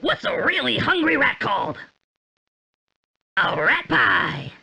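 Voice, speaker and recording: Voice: medium pitch, big pitch swings, slow pace, vocal fry, pushed and bright, husky, heavily acted. An actor voicing a silly, gleeful and proudly gross little cartoon rat in exaggerated video game lines. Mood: silly, playful.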